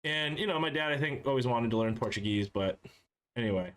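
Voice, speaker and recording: A man's voice: mid-range voice, expressive, a little fast, fairly bright tone. A man from Cape Cod, Massachusetts, hosting a casual podcast chat, laughing often and swearing offhandedly. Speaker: podcast host.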